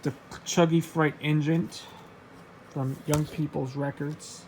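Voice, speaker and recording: A man casually showing his record collection, reading out titles in a halting, offhand way. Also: on the high side, flat delivery, background noise. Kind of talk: casual show-and-tell vlog.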